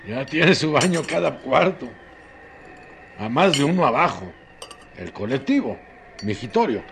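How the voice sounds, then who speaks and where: An actor playing a rustic, gossipy Mexican countryman in a radio drama, telling things in a halting, repetitive, folksy way. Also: medium pitch, very animated, creaky, a bit bright, slight background noise, heavily acted. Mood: chatty, unhurried. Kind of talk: radio drama acting, colloquial storytelling.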